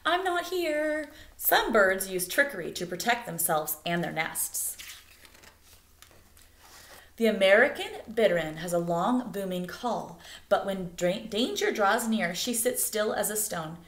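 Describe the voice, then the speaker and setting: A woman reads a nature picture book aloud as expressive narration meant for children. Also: medium pitch, a little slow. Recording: echoey room.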